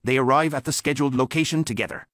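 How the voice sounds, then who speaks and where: A man narrating a story in an even, matter-of-fact way, recorded as a close voice-over. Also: medium pitch, fast, no room sound.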